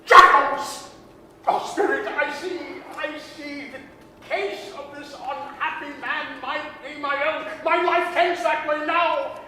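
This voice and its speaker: An actor playing a frightened, repentant old miser who pleads and stammers, in the style of a staged 1930s radio drama. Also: male voice, extremely high pitch, steady tone, slow pace, bright, forceful tone, full character voice. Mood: horrified. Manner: pleading, stammering, anguished exclamations. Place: performing arts center stage. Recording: echoey hall from far off, faint noise.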